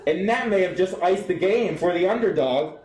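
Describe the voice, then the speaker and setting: A young adult man doing live soccer play-by-play for radio, describing the action in an animated way. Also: sits high, normal speed, echoey room. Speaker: play-by-play sportscaster.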